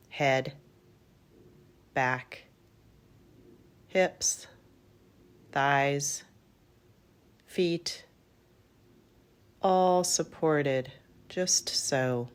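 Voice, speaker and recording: A woman leading a guided meditation in a calm, gentle manner, in unhurried phrases with long pauses. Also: sits low. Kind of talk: guided yoga nidra meditation.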